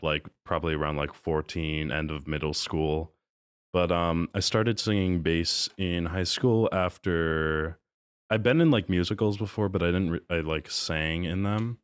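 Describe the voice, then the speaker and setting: Very deep-voiced young man, relaxed. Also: clean tone, no room sound. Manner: relaxed, rambling with fillers. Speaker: bass singer in a group. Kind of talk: casual group chat.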